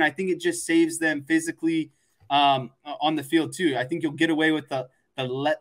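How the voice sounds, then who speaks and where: A man with a fairly high-pitched voice talks sports as a show panelist. His delivery is casual and explanatory, circling back to repeat words when he wants a point to land. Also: fairly bright tone.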